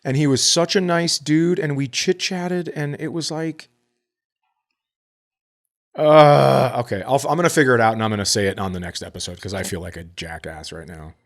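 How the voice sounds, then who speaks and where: Brief: mid-pitched male comic, lively podcast banter, voice leaps up when telling stories. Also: normal speed. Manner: animated, jumps up in pitch when storytelling. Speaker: podcast co-host and comedian. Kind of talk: casual chat.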